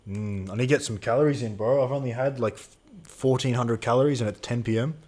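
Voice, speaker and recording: A man's voice: medium pitch, fast talker, a little mellow. A man in his twenties co-hosting a live Q&A stream, chatting casually and reading out viewer questions. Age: 20s. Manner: casual slangy, swears, reads out chat questions.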